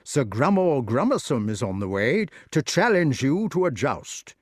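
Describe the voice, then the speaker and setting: Audiobook part: calm, knowing elderly magician, read by a man, mid-pitched, stately and dry. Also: measured pace, no echo. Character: composed, mysterious old male wizard. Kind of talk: audiobook character voice.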